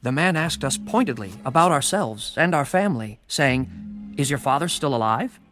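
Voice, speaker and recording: A man's voice: medium pitch, expressive, moderate pace, a bit bright, soft background music, close to an everyday voice. An actor playing an earnest son in a Bible audio drama, answering his elders and defending himself. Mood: defensive protest.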